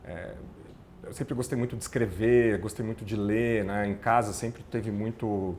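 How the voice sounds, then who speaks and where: A man's voice: lowish voice, fairly even intonation, moderate pace, a little mellow, a little hiss or hum. A middle-aged man with a São Paulo accent, a podcast guest chatting casually and rambling with lots of self-corrections. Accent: São Paulo (ABC paulista) Brazilian Portuguese. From São Paulo ABC region, Brazil. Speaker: podcast guest, communications manager. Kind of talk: casual interview chat.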